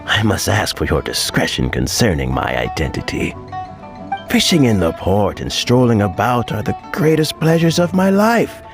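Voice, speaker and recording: A man's voice: fairly low pitch, sing-song, moderate pace, vocal fry, over music. An actor playing a kindly, modest old statesman in a video game, chatty and chuckling. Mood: genial. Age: older.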